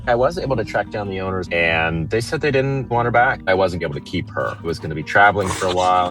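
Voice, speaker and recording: Deep-voiced man, earnest and heartfelt. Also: a bit bright. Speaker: interviewee. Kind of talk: personal storytelling interview. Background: music.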